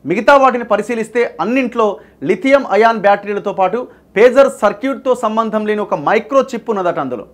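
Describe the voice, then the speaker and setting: A man with a Telangana accent reading news and explaining it emphatically, putting rhetorical questions to viewers. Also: high voice. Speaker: news presenter.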